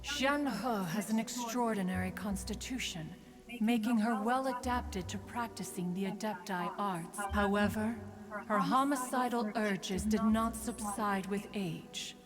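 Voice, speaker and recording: A stately immortal spirit woman, played by an actress for a game. She speaks formally and loftily in a mid-pitched voice, with long reverb and clear music behind her. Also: a little slow, natural voice. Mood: grave, haughty. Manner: formal, archaic, lofty, calls herself one. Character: stately, aloof immortal crane spirit, female master. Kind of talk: video game voice acting.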